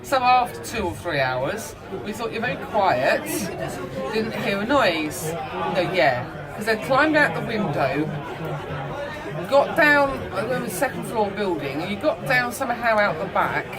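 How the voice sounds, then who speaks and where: Middle-aged mum amid loud chatter. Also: female voice, medium pitch, sing-song, measured pace. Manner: sweary, acts out dialogue. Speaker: mother telling family stories. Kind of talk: casual animated storytelling. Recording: busy public room chatter.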